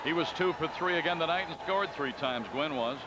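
Play-by-play baseball announcer, man, high voice, even and chatty, crowd noise loud behind. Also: a little fast. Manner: calm, conversational, reading stats. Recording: broadcast booth over loud crowd noise. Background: stadium crowd ambience.

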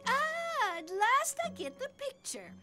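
Very high, cheeky shadow spirit. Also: female voice, big pitch swings, slow pace, sharp tone, soft background music, exaggerated voice. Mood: cheeky, teasing. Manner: playful, cheeky, gushing. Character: capricious, teasing young female shadow spirit. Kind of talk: animated adventure acting.

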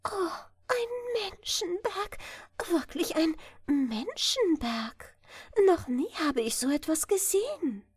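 A woman's voice: extremely high pitch, very animated, slow, no room sound, voice pushed up, full character voice. A woman voicing a dainty young princess in a storybook, light and delicate, with a gracious, courtly manner. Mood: amazed. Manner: polite, gently courtly. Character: dainty, gracious young fairy-tale princess, sweetly wondering. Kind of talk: storybook character reading.

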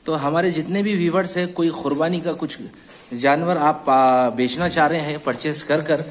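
A man's voice: fairly high pitch, moderate pace. A man with a Hyderabadi accent hosting a casual on-site interview at a goat farm, chatty and repeating answers back. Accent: Hyderabadi Hindi-Urdu. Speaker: host of a goat channel.

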